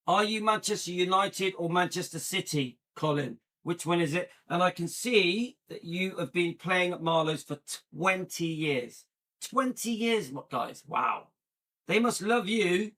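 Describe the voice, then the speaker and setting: A man's voice: sits high, animated, measured pace, a bit bright. A man hosting a vlog, chatting casually and rambling to his viewers while browsing videos. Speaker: vlog host.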